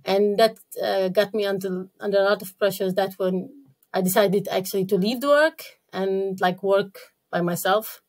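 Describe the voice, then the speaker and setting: Une femme d'origine irakienne, hésitante. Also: female voice, mid-range voice, steady tone. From Iraq. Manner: halting, many fillers and restarts. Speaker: podcast guest. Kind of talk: casual interview talk.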